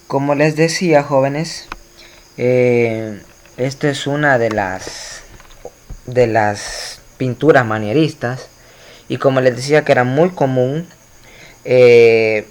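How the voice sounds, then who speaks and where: A man lectures his students through a slide presentation, his voice sitting at an ordinary middle pitch. He explains patiently, stopping for fillers and correcting himself as he goes, and addresses the class directly. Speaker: teacher.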